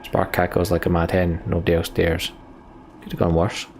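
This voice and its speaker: Male let's play narrator: low, gravelly voice, storytelling delivery, faint background noise. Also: completely flat delivery, normal speed. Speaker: let's play host reading game text aloud. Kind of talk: dramatic storytelling narration with casual commentary.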